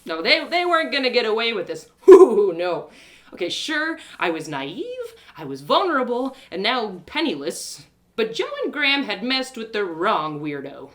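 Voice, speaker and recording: Casting: woman performer as naive young heroine of an audio drama, stranded and betrayed, plucky, melodramatic, very animated delivery. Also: fairly high pitch, moderate pace. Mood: defiant. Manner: dramatic, exclaiming, stammering in distress. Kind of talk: first-person audio drama storytelling.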